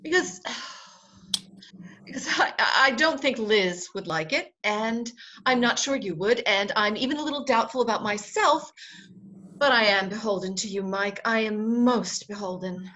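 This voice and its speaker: A woman's voice: on the high side, measured pace, pushed and bright. A woman acting a proud, agitated and self-mocking upper-class bride in a radio play, theatrical and emotional. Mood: wounded, earnest. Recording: noticeable background noise.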